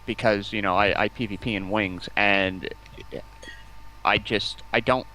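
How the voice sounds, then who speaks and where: A man talking casually as a livestream guest, in a halting, stop-start way. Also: on the low side, steady tone, bright, forceful tone, rough recording. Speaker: guest, community manager. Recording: faint background noise.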